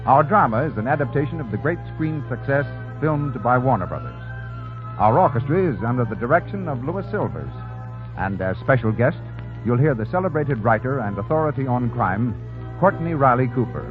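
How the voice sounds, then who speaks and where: An American man announces a 1930s radio show in a formal showman's style. His mid-pitched voice swings widely up and down. The broadcast sounds thin and tinny, with orchestral music clearly behind him. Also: animated, normal speed.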